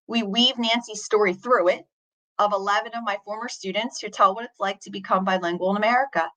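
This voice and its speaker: Woman guest, 40s, earnest and reflective storyteller, bright clear tone. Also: medium pitch, rough recording. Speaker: teacher and author, podcast guest. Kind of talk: storytelling in an interview.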